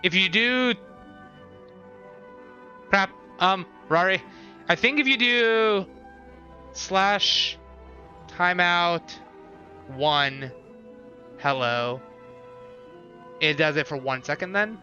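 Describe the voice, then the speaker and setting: A man's voice: sits high, very slow, pauses often, pushed and bright. A man giving casual, offhand live stream commentary, reacting to a game and chat, slightly dull sound with music behind. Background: faint game music.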